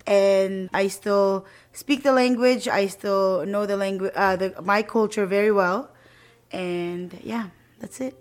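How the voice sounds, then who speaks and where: A woman's voice: mid-range voice, fairly even intonation, takes it slowly. A woman in her twenties from the Philippines, chatting informally as a podcast guest and telling her own story in youthful, slangy phrasing. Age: 20s. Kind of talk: casual chat, telling personal story.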